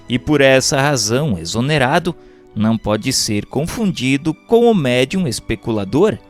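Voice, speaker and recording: A man narrates a book in a formal, didactic way. His delivery is measured and scripted, at an average male pitch, with music playing behind him. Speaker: audiobook narrator. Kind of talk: scripted narration, reading a religious book aloud.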